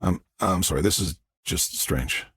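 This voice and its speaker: A man's voice: low-pitched, fairly bright tone, husky, no echo, heavily acted. A man playing a rattled, grieving adult son who stammers and halts through his lines in an audiobook. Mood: flustered. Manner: stammering, halting, flustered. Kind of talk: audiobook character dialogue.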